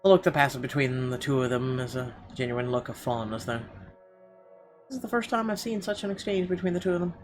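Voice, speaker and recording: Casting: male let's-play host, mid-pitched, irreverent, reads story text aloud with casual asides, prominent game music behind. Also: expressive, a little fast. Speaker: gaming video host. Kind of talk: reading game narration aloud with casual, profane reactions.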